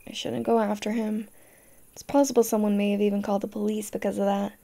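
A woman's voice: medium pitch, level tone, quick pace, creaky, mellow, natural voice. A woman playing a tough, fiercely protective young girlfriend in an audio roleplay, speaking directly to the listener. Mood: tender, protective.

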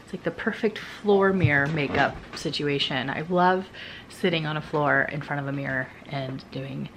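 A woman's voice: sits low, normal speed, noisy background. A woman in her thirties chatting casually to a handheld camera in a bedroom, giggly and full of "like" and "um". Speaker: vlogger. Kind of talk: casual vlog chat to camera. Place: bedroom in a house. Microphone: handheld camera at arm's length.

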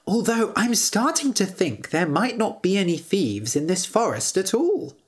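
A woman's voice: mid-range voice, sing-song, unhurried, voice pushed up. A woman voicing a bold, no-nonsense young female adventurer in a storybook tale, speaking bluntly and directly. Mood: confident.

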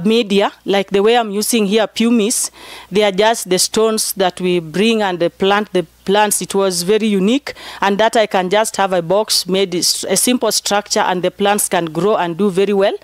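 A woman's voice: mid-range voice, no echo. A middle-aged woman with a Kenyan accent, explaining her research in an interview to camera. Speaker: PhD researcher and nun. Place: inside a greenhouse.

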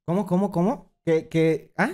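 Expressive young male streamer, lively pitch. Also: normal speed. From Colombia. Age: 20s. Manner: joking, expressive. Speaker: streamer reacting to a video about himself. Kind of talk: casual livestream reaction chat with slang and swearing. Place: indoor streaming room.

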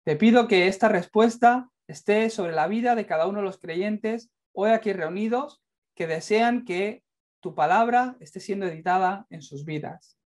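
A man's voice: sits high, normal speed. Young Spanish man preaching fervently. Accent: Castilian Spanish. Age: young adult. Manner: earnest, fervent, builds to emphatic peaks. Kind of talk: sermon and closing prayer. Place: indoors, in front of a plain light wall.

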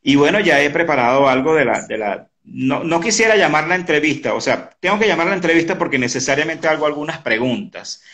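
Halting man in his fifties. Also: on the high side, expressive, a bit bright. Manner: halting, repeats words. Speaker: interview host. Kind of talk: casual conversational online interview. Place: indoor room at home. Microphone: video call, device close in front.

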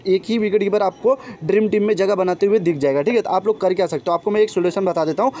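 A man's voice: sits high, fast, warm tone, slight background noise. A young man giving an informal fantasy cricket team preview, chatting to viewers like friends and brothers. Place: voice-over on a phone screen recording.

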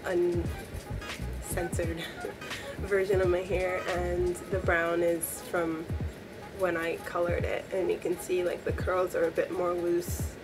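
A young woman with a voice hoarse from a cold, chatting upbeat to camera from a small bedroom. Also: on the low side, level tone, takes it slowly, over music. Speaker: beauty vlogger. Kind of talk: casual talk to camera.

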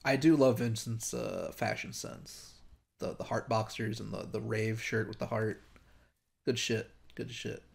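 A man's voice: on the low side, steady tone, measured pace, creaky. A man with an American accent giving casual game commentary in a slangy, sweary, joking way. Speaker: let's play commentator.

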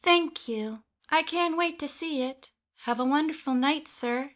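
A woman's voice: sits high, a little slow, fairly bright tone. A woman voicing a polite, trusting young woman in a cartoon, courteous and earnest. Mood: agreeable, entranced. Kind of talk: animated web series dialogue.

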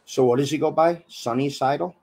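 A young man hosting a casual online radio interview from a small room, his voice hoarse and husky from illness, laid-back and swearing freely. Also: on the high side, fairly quick, a little mellow. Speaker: radio show host. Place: small dim room with colored lights.

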